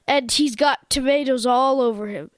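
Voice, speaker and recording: A woman's voice: high voice, normal speed, no echo. A woman on a radio comedy panel, joining the banter with jokes.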